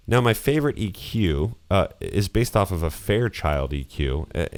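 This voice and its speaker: A man with a deep voice and a touch of nasal quality talks through audio plugins at an easy, explanatory pace. He sounds dry and close on a studio condenser mic, with no room sound around him. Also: no echo. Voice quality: slightly nasal voice. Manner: relaxed, explanatory, casual with fillers. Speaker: audio engineer teaching voice mixing. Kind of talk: screen-recorded mixing tutorial. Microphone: studio condenser microphone close up.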